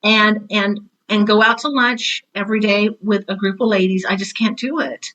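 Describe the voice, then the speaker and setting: A woman in her sixties with a bright, crisp-edged voice at a medium pitch. She talks casually, as on a podcast, and animatedly voices the little quotes in her stories. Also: steady tone, normal speed, muffled recording. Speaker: retired teacher and author talking on a podcast. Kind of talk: casual conversational podcast chat.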